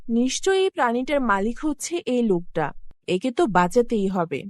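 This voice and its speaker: A fairly high female voice from an actress plays a good fairy in a cartoon folk tale. She is grand and commanding yet benevolent, with very lively intonation. The sound is dry and close. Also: fairly quick, no echo. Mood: commanding, curious. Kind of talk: acted animated fairy-tale dialogue.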